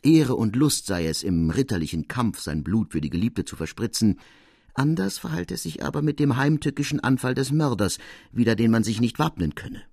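A man reading classic literature as a scripted narration, with expressive, dramatic and deliberate phrasing. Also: mid-range voice, gravelly, husky, no echo, studio quality. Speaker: audiobook narrator.

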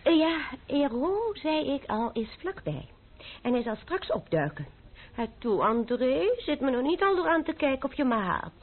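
A woman's voice: high voice, big pitch swings, a little slow. An actress playing a spirited, self-assured young French noblewoman who teases and mocks in an old-fashioned Dutch radio drama. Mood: playful, triumphant. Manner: arch, mocking, confident. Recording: muffled old radio play recording.

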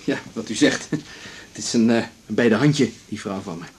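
An actor playing a polite, noncommittal husband, hesitant and conciliatory, in naturalistic radio drama. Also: male voice, on the low side, moderate pace, slightly rough. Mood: polite, awkward. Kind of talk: naturalistic 1980s Dutch radio drama acting.

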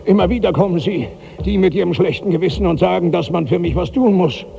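An actor playing a sick, mad, persecuted outcast in a brooding, accusing monologue from a German 1960s radio drama. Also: male voice, high-pitched, creaky, warm tone, breathy edge, exaggerated voice. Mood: bitter accusation. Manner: brooding, accusing, halting repetitions. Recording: muffled, noisy old radio recording.

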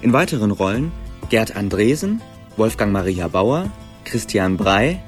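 Low male announcer over faint music. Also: big pitch swings, unhurried. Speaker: announcer reading credits. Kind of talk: scripted radio announcement.

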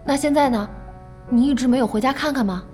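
A woman's voice: high voice, quick pace, dark tone, lightly acted. A woman playing a concerned, sympathetic young friend in a radio drama, earnest and probing. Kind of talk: Chinese radio drama acting. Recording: prominent music behind the voice.

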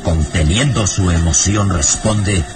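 A man's voice: deep voice, takes it slowly. A man narrating a radio drama in an ominous, theatrical style, with music behind.

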